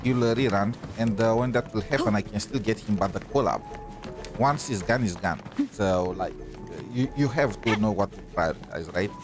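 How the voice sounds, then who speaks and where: A man's voice: fairly low pitch, moderate pace. A man streaming a game in casual chat, thinking aloud in a rambling way and repeating himself. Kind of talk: casual livestream chat while playing. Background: music behind the voice.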